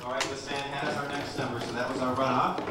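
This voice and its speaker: A man's voice: mid-range voice, flat delivery, fast talker. A man hosting a contest on a cruise ship, asking names and urging the crowd to applaud. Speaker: ship entertainment host. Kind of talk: stage emcee. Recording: roomy, noisy, from far.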